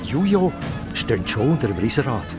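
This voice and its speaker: A man with a Swiss German accent narrating a children's radio play in an animated storytelling style. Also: mid-range voice, normal speed. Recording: music behind the voice.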